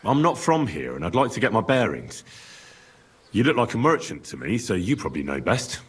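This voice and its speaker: A man's voice: lowish voice, big pitch swings, a little fast, husky. An actor playing a polite, plain-spoken young stranger asking his way in a video game. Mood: polite curiosity. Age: young adult. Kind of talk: video game character dialogue.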